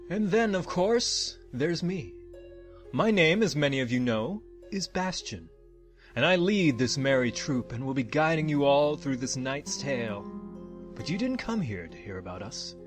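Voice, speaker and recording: A man's voice: mid-range voice, big pitch swings. A man playing a theatrical fantasy storyteller over music. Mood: grand welcome. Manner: measured, showman-like, formal phrasing. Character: theatrical male troupe leader and storyteller, genial, grandiose. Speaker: narrator. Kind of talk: dramatic fantasy storytelling to an audience. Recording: music under the voice. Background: background music.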